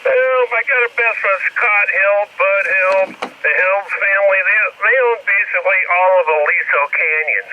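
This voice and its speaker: A man's voice: high-pitched, moderate pace. A man chatting casually over two-way radio in a rambling, slangy way. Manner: rambling, slangy, often says dude and swears mildly. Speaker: amateur two-way radio operator. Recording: noisy, muffled two-way radio transmission.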